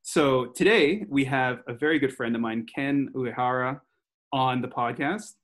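A young man hosting a podcast over a video call, introducing his guest and asking questions in a friendly, earnest, slightly halting way, on a headset mic at home. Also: medium pitch. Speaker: podcast host. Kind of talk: interview hosting.

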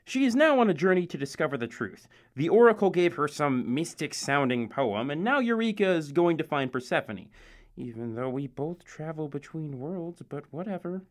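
A woman's voice: deep voice, sing-song, moderate pace. A woman playing a snarky, flirty goddess narrator in an audio drama, teasing and sardonic. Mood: teasing, bored.

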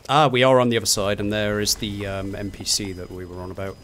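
A man's voice: fairly low pitch, level tone, quick pace, a bit bright, slight background noise. A man chatting casually as he commentates on a co-op video game. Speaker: co-op gaming commentator. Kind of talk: casual chat during gameplay.

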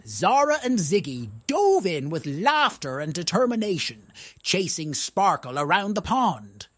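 Very animated children's storyteller, husky voice. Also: medium pitch, a little slow, dry recording. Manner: playful, exaggerated emphasis.

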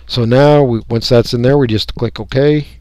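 Casting: American man, medium-pitched, folksy hobbyist talking through software off the cuff, in a dry recording. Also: steady tone, normal speed, no room sound. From United States. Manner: folksy, rambling, thinking aloud. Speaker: radio hobbyist giving a software tutorial. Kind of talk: casual unscripted screen-recording walkthrough.

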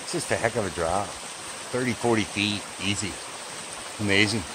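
A man with a very low voice narrates his hike through a forest gorge, chatty and impressed by what he finds. He records on a handheld camera outdoors. The sound of a stream runs clearly behind him. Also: normal speed, noisy background. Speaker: hiking vlogger. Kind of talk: casual hiking narration.

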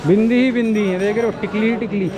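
A man's voice: high voice, fairly even intonation, quick pace. A man chatting casually as a travel vlogger, inquisitive and often repeating back what he is told. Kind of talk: casual walking-tour chat. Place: outdoors in a busy market street. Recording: busy street crowd and traffic noise.

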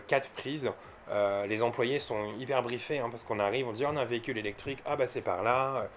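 A man's voice: fairly low pitch, slight background noise. A man from France giving casual, unscripted vlog commentary, explaining things informally and with some hesitation. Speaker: electric car owner and vlogger.

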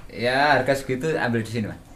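A man's voice: medium pitch, fast talker, a bit bright. A young man, a goat farmer answering questions briefly and modestly. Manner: modest, brief replies, chuckles shyly. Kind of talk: casual answers to interview. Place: outdoor wooden goat pen on a farm. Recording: noisy outdoor farm audio.

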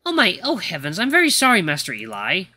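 Performer as small male retriever servant in training, storybook drama, timid and flustered, high animated voice. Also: moderate pace, full character voice. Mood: panicked, apologetic. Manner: stammering, apologetic, timid. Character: tiny, anxious young male golden retriever butler in training.

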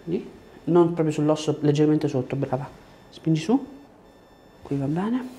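A man, working as a chiropractor and giving short, gentle instructions and questions during an exam. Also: a little high, lively intonation, unhurried, slight background noise. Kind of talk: hands-on exam, instructing a patient. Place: small treatment room indoors.

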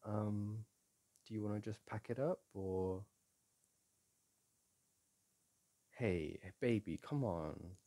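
A man playing a playful boyfriend in an intimate audio roleplay, chatting casually, teasing and coaxing the listener in a gentle, halting way. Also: on the low side. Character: playful, teasing, soothing boyfriend at a board game.

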